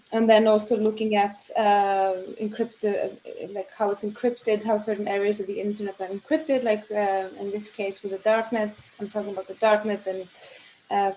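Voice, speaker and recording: A woman's voice: medium pitch, warm tone, slight background noise, low-quality recording. A woman artist from Iceland, an English speaker, describes her work over a video call with many fillers as she searches for words. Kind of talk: casual spoken introduction.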